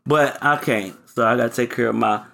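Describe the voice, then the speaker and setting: A man's voice: mid-range voice, a little fast, creaky, fairly bright tone. A man co-hosting a podcast in a laid-back, playful way, chatting casually. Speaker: podcast co-host.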